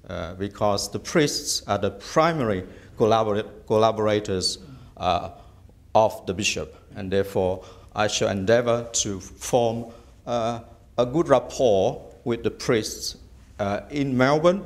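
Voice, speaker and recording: A middle-aged man from Vietnam whose first language is Vietnamese, a bishop-elect giving measured interview answers in a small office, hesitating often with "uh". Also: lowish voice. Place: small office room indoors.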